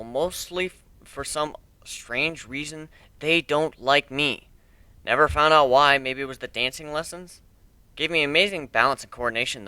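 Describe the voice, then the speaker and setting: A man's voice: medium pitch, bright, forceful tone, clear, resonant tone, no echo. A man reading a dramatic first-person story as a sarcastic, bitter bullied teenage nerd, with emphatic delivery. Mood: wry. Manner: sardonic, emphatic.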